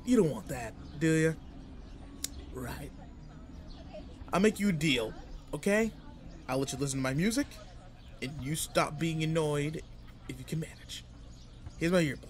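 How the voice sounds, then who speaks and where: A man's voice: fairly high pitch, animated, measured pace, pauses often, a little hiss or hum. A man playing a cocky, irritable teenage delinquent in a roleplay audio drama, talking casually and teasingly straight to the listener. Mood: teasing, grudging.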